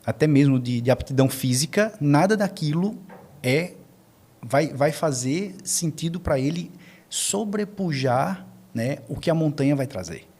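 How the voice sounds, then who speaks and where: A man with a Brazilian accent, a podcast guest explaining conversationally, haltingly searching for words and repeating himself. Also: a little high, lively intonation, darkish tone. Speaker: podcast guest, media team member.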